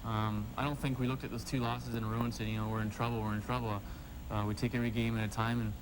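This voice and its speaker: Quick-talking male hockey player from Canada. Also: medium pitch, flat delivery, noisy background. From Timmins, Ontario, Canada. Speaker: hockey player, team captain interviewed. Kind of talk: casual sports interview answers.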